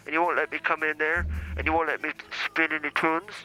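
A man's voice: on the high side, little pitch movement, fast talker, pushed and bright, soft background music, low-quality recording. A man voicing a cranky, foul-mouthed older male priest who calls in, blustering and stammering as he accuses the hosts. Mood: indignant. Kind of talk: comedy character call-in.

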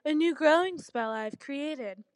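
A woman's voice: very high-pitched, very animated, slow, a little mellow, dry recording, voice pushed up, exaggerated voice. A woman voicing a wicked, sly goddess of magic who coos and pouts in a storybook tale. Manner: cooing, pouting, scoffing.

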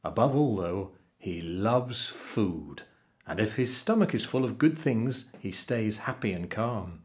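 A man's voice: lowish voice, expressive, measured pace. Man playing a kindly, reassuring ship's cook. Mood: explaining.

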